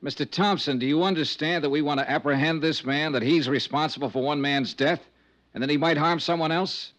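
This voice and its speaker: An actor playing a sardonic, probing insurance investigator in a 1950s radio detective drama, wry and clipped. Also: male voice, a little high, a little fast, a bit bright, lightly acted. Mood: probing, exasperated. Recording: muffled old radio recording.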